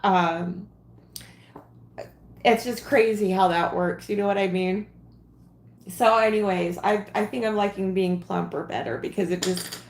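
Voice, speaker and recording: Slow, chatty woman in her forties. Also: medium pitch, vocal fry, reverberant. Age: 40s. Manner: rambling, chatty, frequent ums and giggles. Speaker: craft livestream host. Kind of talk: casual crafting livestream chat.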